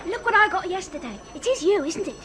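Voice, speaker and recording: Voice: very high voice, big pitch swings, fast talker, crowd noise. A performer playing an eager, blunt, artless schoolgirl in a radio drama.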